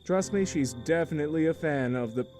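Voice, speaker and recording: A man's voice: a little high, fairly quick, darkish tone, close to an everyday voice. A man voicing an easygoing, homely adult uncle in a visual novel. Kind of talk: acted visual novel dialogue. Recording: music under the voice.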